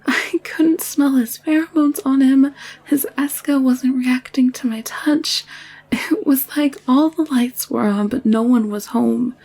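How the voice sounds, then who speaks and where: Woman playing a breathy, anxious young alien nurse. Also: sits high, measured pace, heavily acted. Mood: anxious, hushed. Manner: hushed, hesitant, confiding. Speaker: voice actor in audio roleplay. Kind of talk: intimate scripted audio drama acting.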